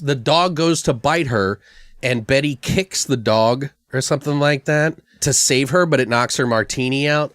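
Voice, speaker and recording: A man's voice: mid-range voice, fairly bright tone, clean tone, no room sound, studio quality. A man hosting a podcast, casually brainstorming comic story ideas in a crude, jokey, sweary way. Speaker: podcast host. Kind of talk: casual comedic brainstorming chat.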